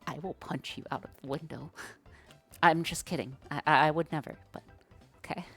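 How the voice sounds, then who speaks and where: Woman, very deep voice, live-stream coding chatter, thinks aloud, slangy, faint background music. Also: steady tone, normal speed, slightly rough.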